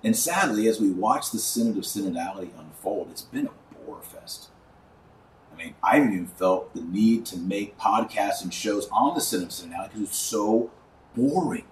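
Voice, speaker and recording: A man's voice: fairly low pitch, animated, normal speed. A man hosting a religious podcast, giving earnest, emphatic preachy commentary with repeated phrases for rhetorical effect. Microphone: wrong microphone picking up from a distance. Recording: echoey room, distant wrong microphone, faint noise.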